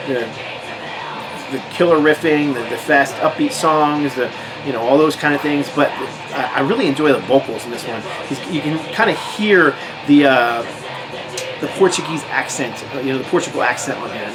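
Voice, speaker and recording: A man's voice: mid-range voice, rough recording. An American man casually showing records from his collection, rambling with lots of fillers and restarts. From United States. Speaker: metal record collector vlogger. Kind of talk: casual record show-and-tell.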